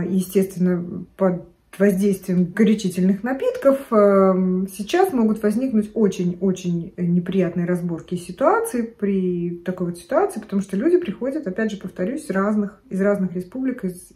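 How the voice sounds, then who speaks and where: A woman's voice: medium pitch, darkish tone. A middle-aged woman vlogging at home, chatting to camera in a chatty, digressive way and gesturing as she talks. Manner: chatty, digressive, gestures a lot while talking. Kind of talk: casual chat to camera. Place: living room at home, indoors.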